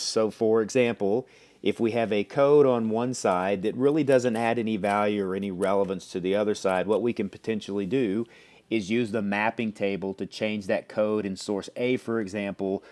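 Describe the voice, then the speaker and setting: Lower-pitched man presenting a software demo. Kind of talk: explanatory product demo walkthrough.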